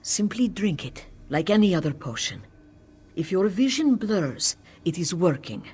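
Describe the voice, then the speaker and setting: A man voicing a solemn cat-folk mystic in a video game, giving measured, urgent guidance. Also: high-pitched, very animated, a little mellow, slightly rough, heavily acted. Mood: calm instruction. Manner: grave, measured, instructive. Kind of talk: scripted video game quest dialogue.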